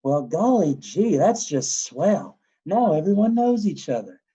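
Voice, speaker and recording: A man voicing a gruff, impatient young male patient who grumbles sarcastically. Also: high voice, very animated, unhurried, dark tone, muffled recording, full character voice. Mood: sarcastic.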